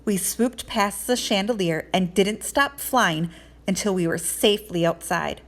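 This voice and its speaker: A woman reading a children's storybook aloud in an expressive teacherly narration. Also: mid-range voice, a little slow.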